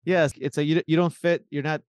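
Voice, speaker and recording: Argentine-born, Miami-raised male composer, rambling and casual, smooth voice, dry close sound. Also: on the high side, flat delivery, brisk, darkish tone, no echo. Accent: American, Miami. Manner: rambling, many restarts and likes. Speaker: composer, guest. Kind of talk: casual interview answers.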